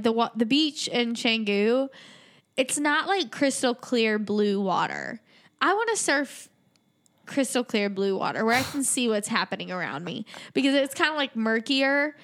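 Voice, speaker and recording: A woman's voice: a little high, fairly bright tone. Congested, nasal young woman chatting. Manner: bubbly, giggly banter. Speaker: podcast co-host. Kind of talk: casual podcast chat.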